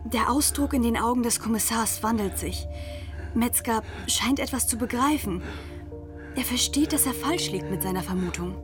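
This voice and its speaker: A woman's voice: a little high, normal speed. A woman narrating a radio drama in a scripted, dramatic storytelling style. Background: music.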